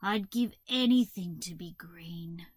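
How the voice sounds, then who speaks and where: A gloomy little male toad from a storybook, acted out by a woman, humble and self-pitying. The words drag out mournfully in a slow, close, dry sound. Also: on the low side, a little mellow, husky, no room sound, pitched below the performer's own voice.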